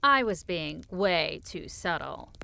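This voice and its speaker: A woman playing a teasing, affectionate wife in audiobook dialogue, with a natural, playful, expressive delivery. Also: on the low side, takes it slowly, creaky, full character voice. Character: teasing, affectionate wife and author in cozy conversation.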